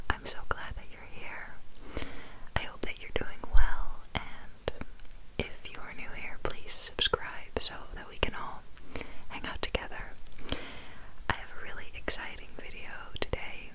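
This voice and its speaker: A young adult woman from the Netherlands, a native Dutch speaker, whispering an ASMR chat from her bed, soft and meandering with many pauses and ums. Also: rough recording. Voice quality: full whisper, unvoiced. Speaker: ASMR YouTuber. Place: sitting on her bed indoors. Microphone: close mic, phone notes in hand.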